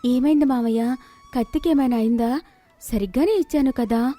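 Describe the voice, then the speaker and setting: A woman's voice: fairly high pitch, normal speed, mellow, faint music behind, lightly acted. A woman voicing a polite, clever young village daughter, respectful and sweetly reassuring.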